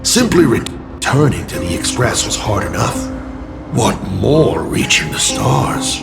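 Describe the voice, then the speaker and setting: Brooding game character: very low register, creaky edge, deliberate theatrical delivery, prominent music underneath. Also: male voice, big pitch swings, heavily acted. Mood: somber. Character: slow, brooding man narrating a sad tale. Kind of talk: dramatic video game voice acting.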